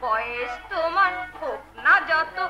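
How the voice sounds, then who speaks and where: Coquettish woman in love, theatrical folk opera singing, slow drawn-out phrasing, very shrill. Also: lively intonation, sharp tone, full character voice. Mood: playful, loving. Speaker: singer in a Bengali jatra folk opera. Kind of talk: theatrical jatra stage singing. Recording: muffled lo-fi stage recording with music. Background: music accompaniment.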